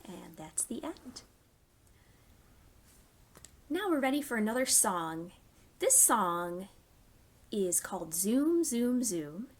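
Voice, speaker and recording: A woman hosting a storytime for young children, reading stories and giving simple, clearly phrased instructions. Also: mid-range voice, sing-song, slow pace, pauses often. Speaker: children's library storytime host.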